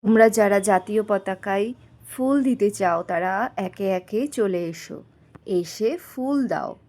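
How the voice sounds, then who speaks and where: A woman's voice: medium pitch, takes it slowly, darkish tone. A woman voicing an encouraging schoolteacher who coaxes and instructs, in a doll story. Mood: instructing. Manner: coaxing, instructing. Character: encouraging female schoolteacher hosting a school flag ceremony.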